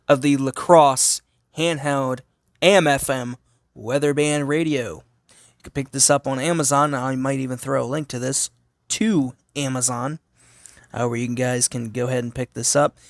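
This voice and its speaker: Man, informal gadget reviewer, medium pitch, repeats himself while thinking aloud, close dry sound. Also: fairly even intonation, a little slow, no room sound. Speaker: gadget reviewer and channel host. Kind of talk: casual hands-on product review.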